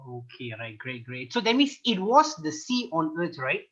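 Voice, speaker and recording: A man's voice: on the high side, very animated, normal speed. A young man from Malaysia, an audience member on a video call, asking questions politely and eagerly. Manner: polite, eager, repeats affirmations. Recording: muffled video call audio.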